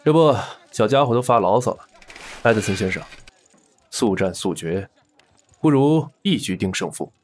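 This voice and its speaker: A man's voice: fairly low pitch, expressive, moderate pace, long pauses, slight background noise, close to an everyday voice. A man playing a suave, scheming boss, smug and teasing with a threat underneath, in a Chinese audio drama. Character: suave, scheming male boss, indulgent and menacing.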